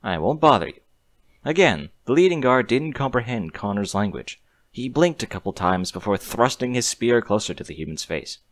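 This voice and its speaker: A man's voice: medium pitch, expressive, moderate pace, no room sound. A man narrating a scripted story expressively on a close mic.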